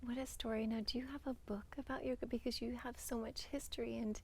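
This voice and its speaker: A woman's voice: on the high side, flat delivery, fast, breathy edge. A woman interviewing a guest, asking brief questions and offering encouraging remarks.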